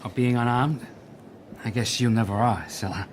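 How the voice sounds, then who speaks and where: A man's voice: medium pitch, fairly even intonation, moderate pace, a little hiss or hum, close to an everyday voice. An older man acting a lonely, world-weary veteran assassin in a radio drama, terse and wry. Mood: wry, guarded.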